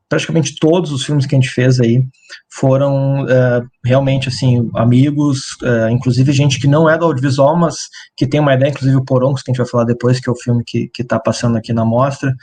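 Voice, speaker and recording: A filmmaker from Porto Alegre with a southern Brazilian accent, speaking over a video call in a thoughtful, hesitant way. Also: male voice, medium pitch, fairly even intonation, a little fast, mellow. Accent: Rio Grande do Sul Portuguese. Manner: thoughtful, hesitant, many fillers. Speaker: filmmaker guest. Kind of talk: casual video-call interview.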